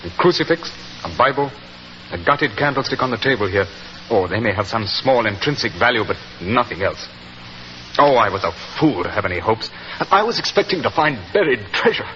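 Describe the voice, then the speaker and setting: A man playing an excitable, aristocratic lord in an emotional 1940s radio drama style. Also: mid-range voice, a little fast. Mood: despondent. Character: excitable English lord, easily despairing or indignant. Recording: muffled, noisy 1940s radio recording.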